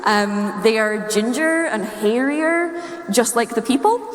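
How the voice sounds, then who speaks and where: A woman's voice: fairly high pitch, lively intonation, slow, a little hiss or hum. A woman from Scotland with a Scottish accent gives a playful, humorous, nerdy talk to a live audience. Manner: playful, chuckles at own wordplay. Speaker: speaker giving a talk. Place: large indoor room or hall, live talk. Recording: echoey hall with long reverb.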